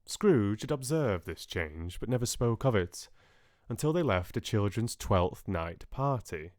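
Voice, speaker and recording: A man reading classic literature as an audiobook narrator, with expressive, dramatic storytelling. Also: lowish voice, unhurried, no room sound, studio quality.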